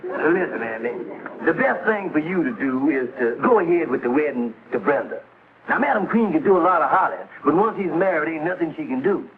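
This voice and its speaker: Casting: man playing reassuring, level-headed friend, highish voice, old TV comedy with tinny soundtrack. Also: expressive, fairly quick, close to an everyday voice. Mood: earnest, advising. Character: sensible, reassuring male friend. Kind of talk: 1950s television sitcom acting.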